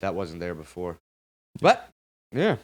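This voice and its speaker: Male podcast host, lowish voice, casual, opinionated, sweary. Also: wildly swooping pitch, slow pace. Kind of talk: casual chat, opinionated and profane.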